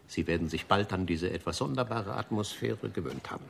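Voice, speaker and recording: An actor playing a pompous, devoted restaurant regular in a radio drama, speaking formally and with reverent emphasis. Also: male voice, sits low, expressive, brisk, vocal fry, slight background noise. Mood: insistent. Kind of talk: German radio drama acting.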